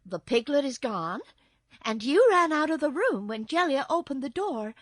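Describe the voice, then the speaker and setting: A woman's voice: high-pitched, sing-song, moderate pace, fairly bright tone, no room sound, voice pushed up. A woman voicing an earnest, emotional little girl in a storybook, anxious, pleading and scolding. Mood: admonishing. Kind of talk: storybook character voice.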